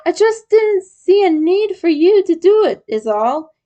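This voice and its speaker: A woman's voice: very high-pitched, sing-song, a little slow, mellow, highs cut off, voice pushed up, heavily acted. A woman voicing a sweet, playful and affectionate young male turtle in a read-aloud story. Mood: shy, tender. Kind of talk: story character dialogue.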